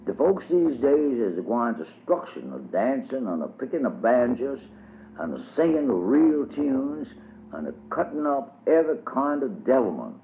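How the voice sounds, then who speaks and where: A man's voice: medium pitch, huge pitch swings, moderate pace, vocal fry, voice pushed up. A man voicing an earnest old male folk preacher who teaches children in a drawling, sermonizing dialect. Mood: earnest preaching. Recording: tinny lo-fi old recording with faint hiss.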